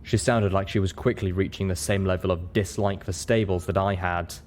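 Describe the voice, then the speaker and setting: A man's voice: low voice, steady tone, normal speed, a little mellow, a little hiss or hum. A man reading scripted first-person fiction as the narrator of an audio story.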